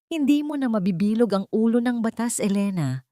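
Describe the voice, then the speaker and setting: A woman's voice: fairly high pitch, animated, a little fast, mellow, no echo, voice pushed up, close to an everyday voice. A woman acts out a stern businessman father in an audio drama. He is firm, confrontational and resolute, heard in a dry, close recording. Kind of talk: Tagalog audio drama acting.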